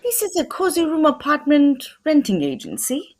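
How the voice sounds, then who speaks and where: A woman playing a proud, fussy, courteous Belgian male detective in a radio drama, with a put-on French accent and a formal, precise, pompous, husky delivery. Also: high voice, wildly swooping pitch, normal speed. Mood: formal, insistent. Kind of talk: old-time radio drama acting.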